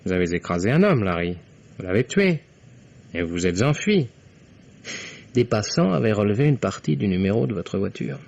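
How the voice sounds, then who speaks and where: A man's voice: fairly low pitch, very animated, normal speed, creaky, long pauses, a little mellow, heavily acted. An actor playing a young male investigator in an old French radio mystery, formal, methodical and pointedly accusing. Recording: dull, noisy vintage radio recording.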